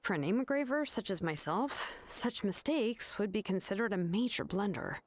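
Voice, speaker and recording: A woman's voice: medium pitch, animated, moderate pace, no echo, lightly acted. A woman playing a composed young craftswoman in a video game, laid-back yet exacting, explaining things casually. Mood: matter-of-fact, explaining. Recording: game music behind the voice.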